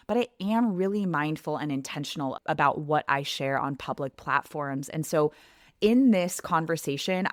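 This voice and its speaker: A woman in her thirties with a deep, low speaking voice shares a heartfelt podcast monologue. She speaks earnestly and reflectively, straight to her listener. The sound is close and dry, with no room around it. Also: no room sound. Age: 30s. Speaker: podcast host and coach.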